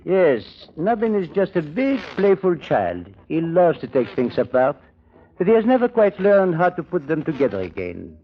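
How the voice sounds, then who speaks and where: A man's voice: on the high side, big pitch swings, normal speed. A man playing a pompous, courtly French crook with a French accent and hesitant, mock-polite menace in vintage radio drama. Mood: silky menace. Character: suave, menacing French crook, pompously proclaiming his sincerity. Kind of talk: 1940s-50s radio drama acting. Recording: muffled vintage radio broadcast.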